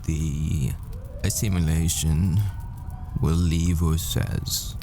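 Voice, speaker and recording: A man playing a tender, desperate boyfriend, a secretive professor, in an intimate, halting, wistful audio roleplay monologue. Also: very deep voice, fairly even intonation, warm tone, slight background noise, full character voice. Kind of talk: intimate ASMR-style dramatic roleplay monologue. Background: music.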